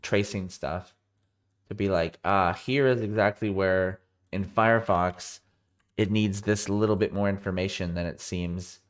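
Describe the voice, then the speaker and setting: A man with a cold and a somewhat low voice talks through code on a live stream. He sounds tired, thinks aloud as he goes, and often catches and corrects himself mid-sentence. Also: fairly even intonation, unhurried, smooth. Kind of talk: live coding walkthrough.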